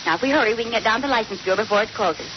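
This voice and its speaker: Indignant American radio-sitcom wife for a woman to act, high voice, theatrical and flustered, on a noisy vintage recording. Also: racing, lo-fi sound, natural voice. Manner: theatrical, exasperated. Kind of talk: 1940s radio comedy acting.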